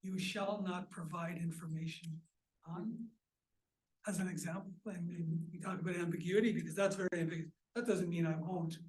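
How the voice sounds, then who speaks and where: Blunt man with a high voice. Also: steady tone, normal speed, darkish tone, rough recording. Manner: blunt, direct. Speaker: workshop participant.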